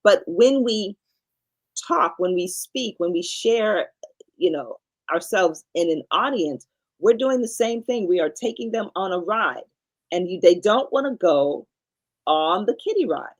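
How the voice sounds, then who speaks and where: A woman's voice: medium pitch, expressive, a little slow. Woman, middle-aged, speaking coach, podcast guest, emphatic, teacherly, repeats points. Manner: emphatic teacherly explaining with repetition. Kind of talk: podcast interview conversation.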